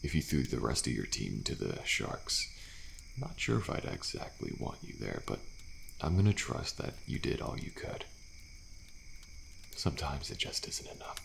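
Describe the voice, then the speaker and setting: Male actor as weary but friendly horror-game survivor, ASMR roleplay. Extremely low, heavy fry, hushed and reassuring. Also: big pitch swings, a little hiss or hum, full character voice. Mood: calm, resigned. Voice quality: deep, gravelly. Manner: soft-spoken, hushed, unhurried, reassuring. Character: weary, friendly male survivor of deadly trials, resigned yet hopeful. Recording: faint night insect ambience behind.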